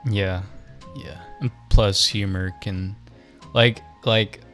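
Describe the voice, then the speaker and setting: Low-voiced young man, rambling and hesitant. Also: steady tone, slow pace, fairly bright tone, soft background music. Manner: rambling, hesitant, many restarts, joking. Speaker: podcast co-host. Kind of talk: casual chat.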